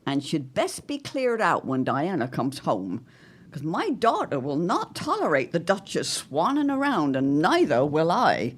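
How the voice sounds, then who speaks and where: A woman's voice: low voice, huge pitch swings, a little slow, husky, full character voice. A woman playing a witty, protective middle-aged mother of the 1910s, genteel and wry, in a period audio drama. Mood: indignant, catty. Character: arch, witty, protective society mother in 1917.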